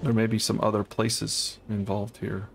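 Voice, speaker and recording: Gravelly-voiced man hosting a game playthrough. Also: lowish voice, completely flat delivery, normal speed, faint music behind. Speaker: let's play host. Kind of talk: casual co-op gameplay chat.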